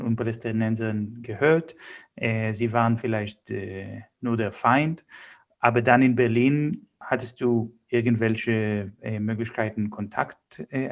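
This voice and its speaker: A man hosting an interview, giving introductions and putting questions to a guest. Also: medium pitch. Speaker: interview host.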